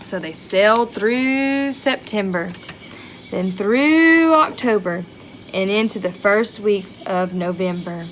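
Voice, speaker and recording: A woman reading a picture book aloud to young children in an expressive way, with chatty asides and questions to her listeners. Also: medium pitch, slow pace, slight background noise, rough recording. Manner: expressive, child-directed, with rhetorical questions and exclamations.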